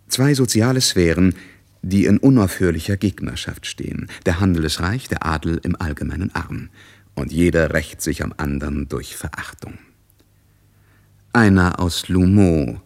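A man with a low voice narrates a literary radio drama. His delivery is measured and scripted, in the manner of a storyteller. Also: darkish tone, slightly rough.

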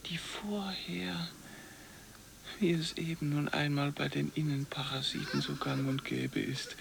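A narrator reciting a scripted literary text deliberately, often in a breathy half-whisper. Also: mid-range voice, level tone, slight background noise, low-quality recording.

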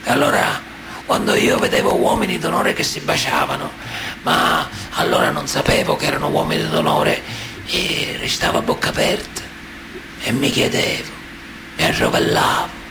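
An actor playing a grave, brooding mafioso in a radio drama monologue, speaking deliberately and emphatically. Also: male voice, fairly high pitch, very animated, background noise, full character voice. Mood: brooding, insistent. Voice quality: gravelly, creaky. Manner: deliberate, emphatic, repeats words for weight. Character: Sicilian mafioso recalling his boyhood initiation, grave, brooding. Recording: roomy echo, music behind.

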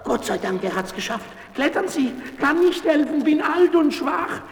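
An actor playing an old, weak, tender-hearted father in a children's radio play, speaking haltingly and with feeling. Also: very high voice, very animated, vocal fry, echoey room, in a large hall, heavily acted. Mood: weary.

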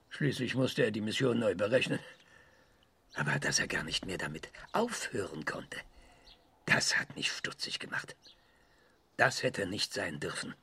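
A man playing a self-assured research scientist in a radio drama, lecturing emphatically with dramatic pauses. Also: mid-range voice, creaky, a bit bright, husky, heavily acted. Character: excitable, self-assured male research scientist giving an interview.

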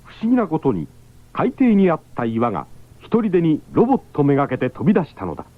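A man's voice: on the high side, huge pitch swings, fast talker. Emphatic man narrating a radio drama. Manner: emphatic, exclamatory storytelling. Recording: tinny 1970s radio broadcast.

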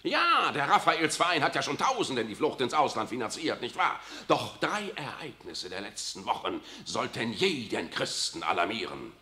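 An actor playing a worldly, cynical older cardinal who talks in an ironic, confiding way in a 1960s radio drama. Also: male voice, medium pitch, big pitch swings, moderate pace, hardly any pauses, bright, forceful tone, roomy sound. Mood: sly. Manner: ironic, confiding, keeps adding "nicht wahr".

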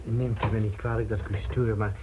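A man's voice: fairly low pitch, level tone, brisk, close to an everyday voice. A man playing a flustered, deferential junior policeman with a hesitant manner, in a Dutch radio drama. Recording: muffled, noisy, thin old radio recording.

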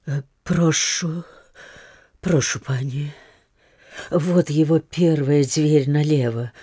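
An actress playing a talkative, deferential landlady in an audio drama, with a halting, rambling way of telling things. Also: low-pitched, warm tone, slightly rough, no echo, studio quality, exaggerated voice. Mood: subdued, deferential. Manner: halting, rambling, repetitive storytelling. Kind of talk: Russian literary audio drama acting.

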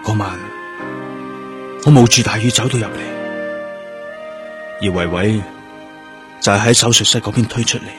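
Guy playing grieving hong kong cantonese cop, low subdued voice, radio drama w/ guitar music loud behind. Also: moderate pace, pauses often, close to an everyday voice. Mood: sombre, grieving. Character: brooding, grieving male police detective haunted by loss. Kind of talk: radio drama acting with first-person narration. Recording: music under the voice.

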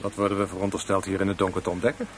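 An actor playing a polite, deferential but reluctant police driver in a radio drama, hesitant and doubtful. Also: male voice, deep voice, little pitch movement, racing, natural voice. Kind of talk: Dutch radio drama acting. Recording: noisy old radio drama with background sound.

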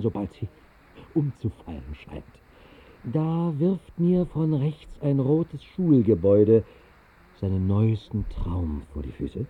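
An actor playing a tipsy, whimsical night wanderer in a 1960s radio drama monologue, speaking in a halting, theatrical, mock-pompous way. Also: male voice, mid-range voice, breathy edge, full character voice. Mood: dreamy, theatrical. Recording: muffled narrow-band 1960s radio recording.

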